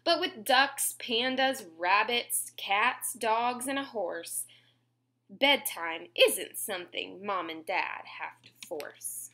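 A woman in her twenties reading a picture book aloud at home, expressively and clearly phrased for young children. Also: mid-range voice, takes it slowly, bright, forceful tone. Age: 20s. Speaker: children's story reader. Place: small room at home, indoors.

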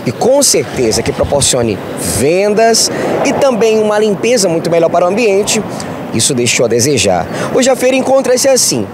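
A man's voice: sits high, huge pitch swings, normal speed. A young Brazilian man giving an on-location TV news report outdoors with a handheld microphone. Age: young adult. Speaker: TV field reporter. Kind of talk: on-location news piece to camera. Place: outdoors beside a street market. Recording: clear street and traffic noise.

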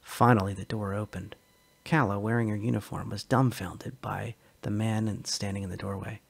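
A man narrating a science fiction short story as a dramatic reading, measured and expressive. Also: on the low side, vocal fry, breathy edge.

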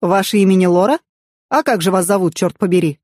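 A woman's voice: mid-range voice, brisk, darkish tone, dry recording, studio quality, lightly acted. A woman voicing an arrogant, wealthy, angry businessman in an audiobook, curt and scornful. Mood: curt, impatient.

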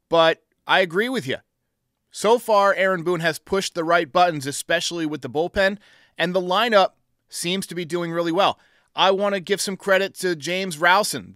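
Male sports talk host, thirties, forceful and clean-voiced, close on the mic in a studio. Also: on the high side, clean tone, no echo. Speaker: host of a sports fan show. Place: small home studio, indoors. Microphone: close studio mic on boom arm.

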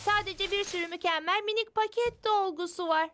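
A woman voicing an excitable, childish young girl in a dubbed children's cartoon, exclaiming theatrically. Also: very high voice, brisk. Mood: indignant. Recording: music under the voice.

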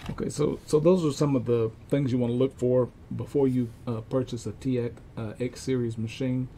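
Older man, gravelly and mellow. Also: medium pitch. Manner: halting, methodical step-by-step explaining. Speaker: repair technician demonstrating equipment checks. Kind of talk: informal instructional demonstration. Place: cluttered indoor electronics workshop. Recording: clear steady background room noise.

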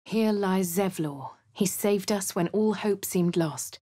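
A posh English adventurer heroine. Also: female voice, medium pitch, takes it slowly, warm tone, no room sound, studio quality. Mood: composed. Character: posh young female adventurer hero. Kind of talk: game voice lines and narration.

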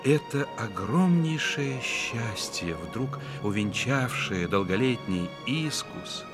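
A man narrating a literary radio play as the author's voice, measured and reflective, with deliberate pauses. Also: medium pitch, lively intonation, over music. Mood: lyrical, tender. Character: authorial narrator of a family novel, reflective and solemn. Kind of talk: scripted literary narration, dramatic radio reading.

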